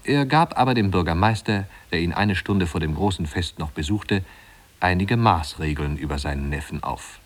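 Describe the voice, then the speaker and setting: An actor playing a measured, gently ironic storybook narrator in a 1950s-style German radio play. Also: male voice, deep voice, expressive. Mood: measured, wry.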